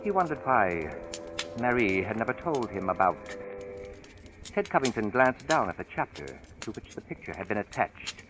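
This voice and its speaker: Over strong background music on a vintage radio recording comes a low-pitched man as a brooding storyteller. His phrasing is deliberate and theatrical, steeped in suspense. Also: very animated, rough recording. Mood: suspenseful, brooding. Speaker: narrator of a radio horror drama. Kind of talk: dramatic old-time radio narration. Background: dramatic music.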